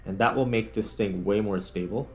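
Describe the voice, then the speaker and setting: A man's voice: on the low side, normal speed, soft background music. A man giving casual let's-play commentary as a gaming video host, thinking aloud in an informal way.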